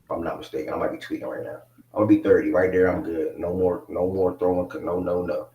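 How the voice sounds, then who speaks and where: Man, twenties, sports show host, casual rambling talk, low pitch, distant mic, roomy echo. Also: level tone, fast, highs cut off. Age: 20s. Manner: rambling, laid-back, many fillers. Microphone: mic not connected, picked up from a distance. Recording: echoey room, voice far from mic.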